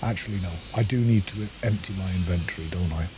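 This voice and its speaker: Male gaming host, lowish voice, casual self-directed muttering while playing, clearly noisy recording with ambience behind. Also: fast talker. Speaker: gaming let's-play host. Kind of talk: casual gameplay commentary.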